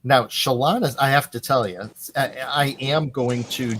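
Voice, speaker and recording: Male, craft stream co-host, soothing, calm, self-deprecating, slow casual chat, noisy recording. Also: mid-range voice.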